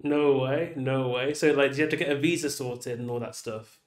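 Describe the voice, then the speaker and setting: A man hosting a casual interview, talking in a relaxed, chatty way and saying "like" a lot. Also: mid-range voice, moderate pace, roomy sound. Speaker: interview host.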